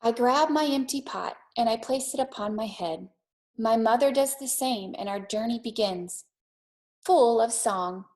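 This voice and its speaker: A woman's voice: on the high side, unhurried, darkish tone. A woman teacher in a read-aloud lesson for young children, speaking simply and directly with an encouraging manner.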